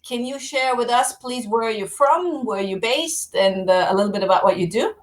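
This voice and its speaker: A woman's voice: fairly high pitch, lively intonation, normal speed, slight background noise. A woman hosting a casual interview on a video call, upbeat and greeting viewers. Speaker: host.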